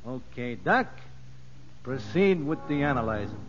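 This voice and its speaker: A man's voice: mid-range voice, sing-song, measured pace. A male actor playing a brash, bossy convict who bullies in slangy, mock-formal speech in a 1950s radio drama. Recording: muffled, noisy old radio broadcast.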